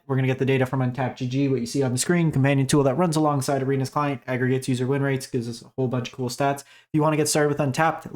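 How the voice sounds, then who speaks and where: A man hosting a casual game strategy breakdown, his voice raspy as he is losing it, talking in a conversational way with many fillers. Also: mid-range voice, a little mellow. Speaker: host. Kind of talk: casual gaming commentary.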